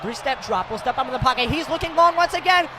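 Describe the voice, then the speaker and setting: A young American man with a very high-pitched voice calls live football play-by-play. His delivery is clipped and excitable, projecting loudly as plays develop. Clear stadium noise runs behind him. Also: fast talker. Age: young adult.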